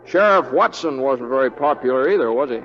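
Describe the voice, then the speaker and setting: An actor playing an easygoing, shrewd young deputy who talks in a hesitant, understated drawl in a 1940s radio Western. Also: male voice, medium pitch, lively intonation, a little fast, fairly bright tone. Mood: mild, understated. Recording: dull old radio broadcast.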